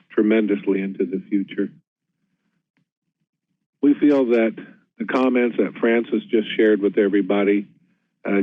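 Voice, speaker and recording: A man speaks in a steady, measured way, with many "uh" fillers. His voice is low and quite monotone. The audio is dry and tinny, like it came through a telephone. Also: no room sound. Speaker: health management CEO. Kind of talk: conference presentation. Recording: tinny phone-line audio.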